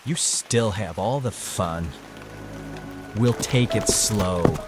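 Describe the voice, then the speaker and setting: A man's voice: lowish voice, slow pace, loud music behind the voice. A man playing a teasing, protective brother on horseback in an audio drama.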